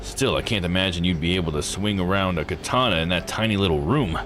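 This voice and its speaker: A man voicing a gruff, world-weary police detective in a visual novel, with a casual, grumbling manner. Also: deep voice, quick pace, music in the background, pitched below the performer's own voice, natural voice. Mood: uneasy.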